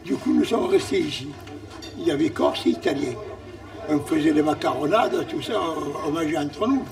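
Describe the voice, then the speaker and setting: A man with a Marseille accent talks casually about his restaurant. His voice sits high and has a gravelly edge, and he tends to repeat himself. He is heard a little off the mic. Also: animated, moderate pace, recorded from a distance, crowd noise. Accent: Marseille French. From Marseille, France. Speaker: restaurant owner. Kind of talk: casual interview.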